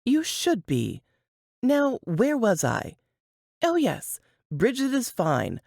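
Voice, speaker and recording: A woman voicing a spirited, chatty woman in an audiobook, feisty and quick to scold. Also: on the high side, wildly swooping pitch, vocal fry, a little mellow, no room sound, studio quality, voice pushed up, exaggerated voice. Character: spirited, chatty, scolding young brunette at bedside.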